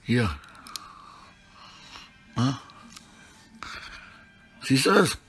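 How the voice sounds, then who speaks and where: A middle-aged man with a husky voice, rambling disjointedly in a selfie monologue recorded indoors on a handheld phone. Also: mid-range voice, expressive, very slow, a bit bright, faint music behind. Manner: rambling, disjointed, often tags sentences with questions. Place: small room indoors. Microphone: phone held at arm's length.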